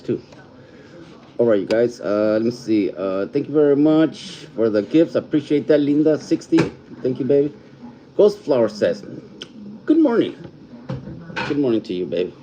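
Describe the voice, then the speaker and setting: A man's voice: mid-range voice, background noise. Fifty-something man thanking livestream viewers. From California. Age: 50s. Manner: warm, thankful, reads out viewer names slowly; mixes Spanish phrases into English. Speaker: livestream host. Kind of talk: casual livestream chat reading comments. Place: home.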